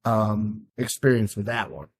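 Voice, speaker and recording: A man as a podcast guest, chatting in a relaxed, agreeable way. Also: lowish voice, a little slow, slightly rough. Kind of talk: casual chat.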